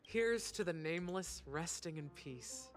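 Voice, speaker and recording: A woman voicing a wistful, poetic bartender in a video game, speaking in a measured, reflective way. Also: on the low side, lively intonation, soft background music.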